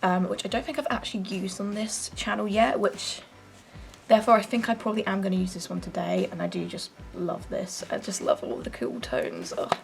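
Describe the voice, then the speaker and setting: Croaky, chatty young woman. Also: mid-range voice, lively intonation, fairly quick. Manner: chatty, self-deprecating, rambling. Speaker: beauty vlogger. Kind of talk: casual get-ready-with-me chat. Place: her bedroom.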